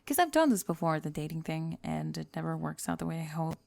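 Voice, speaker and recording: A woman plays a young female pop star in an intimate audio roleplay. Her voice sits fairly low with a crackly fry, recorded very close and dry. She confides candidly, a little nervous and worn by fame, yet kind and playful, often softening to a murmur. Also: very animated, a little fast, dry recording, exaggerated voice. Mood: nervous, confiding. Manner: confiding, hesitant, playful, trailing into soft murmurs. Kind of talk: intimate ASMR roleplay audio, one-sided date conversation.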